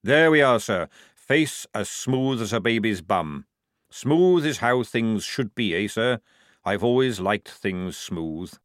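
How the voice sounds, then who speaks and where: Gruff veteran sergeant, male narrator acting, hearty and sarcastic, very animated delivery, storybook. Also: lowish voice, slow, dry recording, clean studio sound. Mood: jovial, needling. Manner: blustering, barking commands with folksy phrases.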